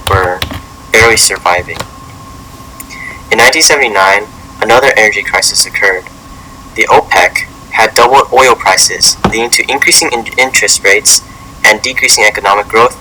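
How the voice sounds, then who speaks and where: A man reading a scripted educational narration in a halting way, stumbling over words now and then. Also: on the low side, pushed and bright, a little hiss or hum, low-quality recording. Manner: halting read-aloud delivery with stumbles.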